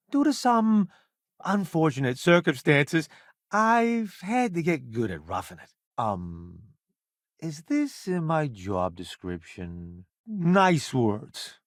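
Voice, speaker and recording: A man's voice: fairly high pitch, a bit bright, no echo, studio quality, exaggerated voice. A man voicing a vain, wisecracking, cowardly food-columnist sidekick in a space video game, with a breezy, theatrical, boastful delivery. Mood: wry, laid-back. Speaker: companion character in a space game. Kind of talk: animated video game voice acting.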